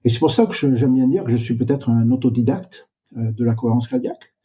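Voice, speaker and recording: An older man, a retired doctor, answering interview questions in a slow, reflective way with many hesitations. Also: mid-range voice, mellow. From Canada. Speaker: guest, doctor. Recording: dull, lo-fi sound.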